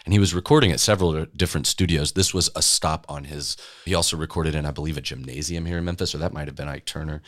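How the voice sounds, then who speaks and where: A man's voice: extremely low pitch, fast, a bit bright. A man speaking conversationally and earnestly, reflecting and telling stories in a discussion. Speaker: studio staffer and guide.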